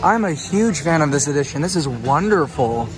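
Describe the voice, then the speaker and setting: A man's voice: on the high side, lively intonation, normal speed, music in the background. A young man vlogging at a theme park, enthusiastic and chatty, filming himself with a handheld camera outdoors. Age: young adult. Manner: enthusiastic, upbeat, yelling on rides. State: losing his voice. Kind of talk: casual vlog commentary. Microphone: handheld camera at arm's length. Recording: loud wind and ride noise.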